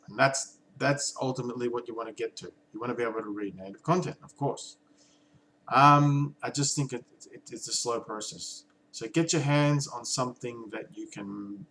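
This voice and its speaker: A man's voice: medium pitch, a bit bright, a little hiss or hum, rough recording. A man talking casually to camera about reading in foreign languages, thoughtful and hesitant with frequent ums and restarts. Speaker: language learning vlogger.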